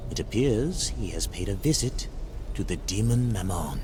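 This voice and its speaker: A man's voice: fairly low pitch, animated, moderate pace, close to an everyday voice. A man playing an eager, scheming demon merchant in a video game, theatrical and hissing. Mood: urgent, imperious. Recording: noisy game soundtrack behind voice.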